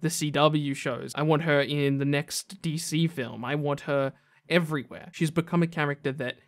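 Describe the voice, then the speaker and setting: A man's voice: a little high, fairly even intonation, normal speed. A man hosting a gaming list video, giving casual, opinionated commentary in a conversational way.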